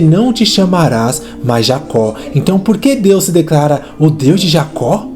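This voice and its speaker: A man's voice: on the high side, warm tone. A man dubbing a fervent preacher in a sermon, speaking emphatically and with rhetorical repetition. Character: fervent male preacher exhorting a congregation. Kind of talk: dubbed sermon. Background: music.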